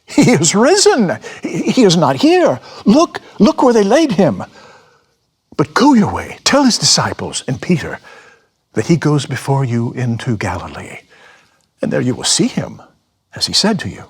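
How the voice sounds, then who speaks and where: Guy playing a joyful young messenger man, raised raspy voice, excited and urgent, bible drama. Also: on the high side, a little slow, voice pushed up, full character voice. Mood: excited, reassuring.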